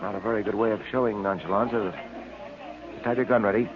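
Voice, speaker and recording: An actor playing a calm, commanding detective in 1940s radio style, with a dry, clipped, unflappable delivery. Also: male voice, fairly low pitch, quick pace, a crowd in the background, close to an everyday voice. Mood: dry, firm. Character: calm, commanding adult male police detective. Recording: muffled old radio recording with hiss.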